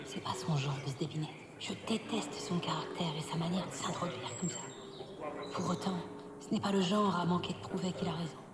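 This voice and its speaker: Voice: medium pitch, brisk, a loud crowd, lightly acted. A performer voicing a frail young pegasus, nervous yet defiant, in a French audio fantasy drama. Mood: pensive. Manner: nervous stammer turning indignant. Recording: roomy echo under loud music.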